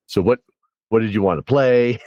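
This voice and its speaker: A man's voice: lowish voice, normal speed, warm tone, dry recording, lo-fi sound. A man hosting an interview show, talking in a casual, conversational style. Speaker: podcast host and interviewer. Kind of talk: casual interview chat with a scripted intro.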